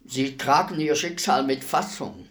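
An actor playing a polite, businesslike state executioner in a German radio play, formal and matter-of-fact. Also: male voice, medium pitch, a little slow, fairly bright tone, natural voice. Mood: polite, calm. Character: polite state executioner, businesslike, unruffled, deferential hired killer.

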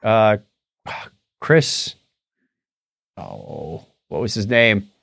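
A man's voice: lowish voice, sing-song, very slow, vocal fry, a bit bright, dry recording. Man chatting casually, close dry sound. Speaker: podcast co-host. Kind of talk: casual chat about movies and tech.

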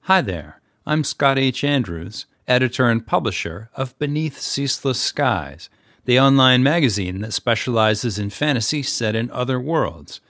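A man's voice: medium pitch, animated, measured pace, fairly bright tone, no echo, clean studio sound. A man giving a scripted how-to talk as a podcast guest, in a wry, plainspoken way. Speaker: guest audio editor and podcast narrator.

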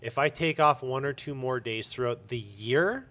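A man's voice: mid-range voice, moderate pace, bright, forceful tone. A man speaking as a guest in a casual interview, candid and jokey, with emphatic and occasionally crude phrasing. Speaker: interview guest, comic book store owner.

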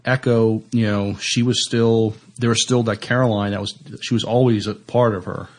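A man in a casual podcast discussion, thinking aloud haltingly and often repeating his words. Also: lowish voice, fairly even intonation, a little mellow. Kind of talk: casual discussion of TV science fiction.

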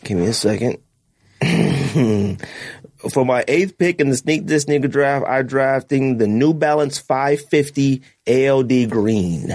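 A man's voice: mid-range voice, slow pace, darkish tone. A man hosting a casual podcast, joking and laughing often as he banters with friends, with slangy talk. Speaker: podcast host.

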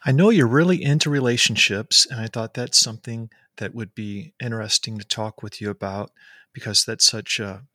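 A man's voice: on the low side, normal speed, bright, forceful tone, no room sound, studio quality. A man hosting a podcast who asks questions in a friendly, hesitant way with many ums. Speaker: podcast host. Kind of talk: conversational interview.

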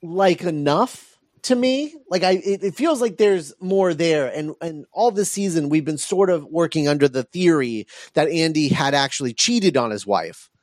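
A man's voice: a little high, expressive, moderate pace, no echo, clean studio sound. A man hosting a podcast, talking casually about film and thinking aloud with frequent hesitations and repeats. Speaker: podcast host.

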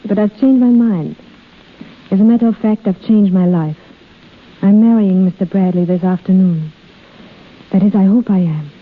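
A woman playing an elegant, courteous lover in 1930s radio drama, phrasing things formally and deliberately. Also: mid-range voice, narrow, tinny audio, natural voice. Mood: earnest. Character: poised, elegant woman in love, smoothly courteous. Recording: band-limited 1930s radio recording, music behind.

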